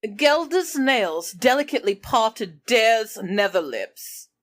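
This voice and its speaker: A woman's voice: fairly high pitch, very animated, slow pace. A woman in an improvised comedy chat, reading passages aloud between bits of banter. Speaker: book club podcast performer reading aloud.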